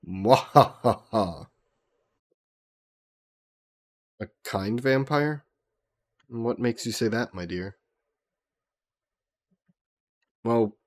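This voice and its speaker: A man playing a gentle, wistful immortal vampire scholar in an intimate audio roleplay, courteous and reflective, musing with hesitant pauses. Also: on the low side. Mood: playful teasing.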